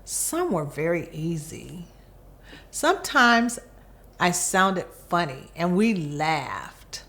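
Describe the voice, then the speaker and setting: Female children's story reader, slightly low-pitched, expressive, very slow and deliberate delivery. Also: creaky. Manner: expressive, deliberate phrasing with pauses. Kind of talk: children's picture book read-aloud.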